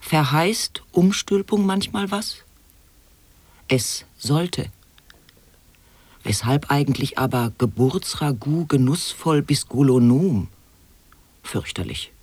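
A solemn, absurd wordplay monologist in an experimental radio play. The voice is husky and breathy, very slow and halting, placing each word deliberately and sinking at times toward a whisper. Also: male voice, on the high side, expressive, dry recording, exaggerated voice. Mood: solemn musing.

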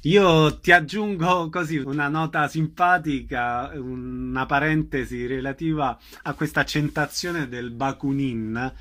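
A man's voice: fairly high pitch, fairly even intonation, a little slow, few pauses, bright, forceful tone, no room sound. Radio host man with a dry studio voice. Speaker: radio theatre show host. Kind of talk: radio interview chat.

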